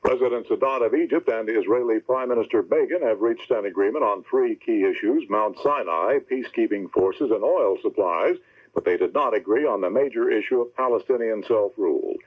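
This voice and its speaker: A man's voice: on the low side, fairly quick. A man reading scripted news as a radio anchor. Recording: muffled, narrow-band old radio recording.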